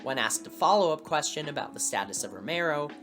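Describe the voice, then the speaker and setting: A woman's voice: sits low, animated, fast, over music. A woman hosting a video series, reading scripted video essay narration with wry asides. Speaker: host of a video series on lost media.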